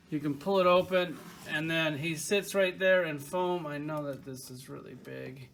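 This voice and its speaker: A man's voice: a little high, a bit bright. A man giving a casual, opinionated product review in an informal, rambling way. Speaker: toy reviewer.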